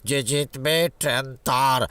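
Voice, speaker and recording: A man voicing a bookish, commanding old ghost boss in an animated cartoon, with a gravelly voice. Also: mid-range voice, unhurried, close to an everyday voice. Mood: challenging. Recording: music behind the voice.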